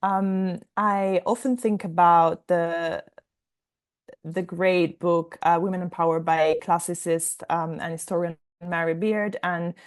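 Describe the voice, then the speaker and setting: Lower-pitched young woman from Italy, reflective. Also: steady tone, slow pace. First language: Italian. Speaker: interview guest, novelist. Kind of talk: conversational interview answers.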